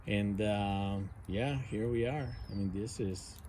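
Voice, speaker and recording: A man in his forties from the United States talks slowly and easily, his soft voice sitting low and mellow. He rambles along with plenty of fillers, chatting outdoors by a fire pit with a faint hum of the open air behind him. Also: steady tone. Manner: laid-back, rambling, many fillers. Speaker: outdoor vlogger. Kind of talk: casual rambling chat to camera.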